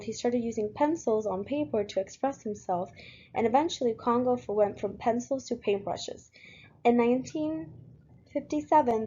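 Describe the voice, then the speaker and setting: Young woman student from Puerto Rico, warm husky voice, earnest presenter, lo-fi recording. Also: on the high side. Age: young adult. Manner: steady, earnest delivery with occasional fillers. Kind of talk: reading a class presentation.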